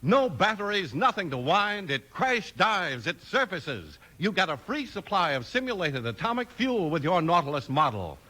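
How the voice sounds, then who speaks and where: A man with an American accent announcing in emphatic, deliberate 1950s radio style, reading scripted copy. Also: high-pitched, big pitch swings, bright, forceful tone. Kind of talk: 1950s radio commercial and scripted story narration.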